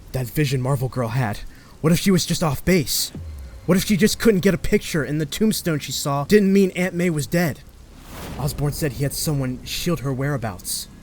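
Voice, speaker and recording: A man's voice: a little high, darkish tone, close to an everyday voice. A man playing an earnest, guilt-ridden young superhero in a comic-book fan dub, dramatic, with music behind. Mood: anguished.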